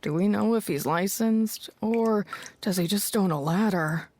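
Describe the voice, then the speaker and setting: A woman's voice: mid-range voice, unhurried, a little mellow. A woman playing a wry, teasing talking ceiling vent in a comic radio commercial, in a conversational way. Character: leaky talking ceiling vent, wry and nagging homeowner's helper.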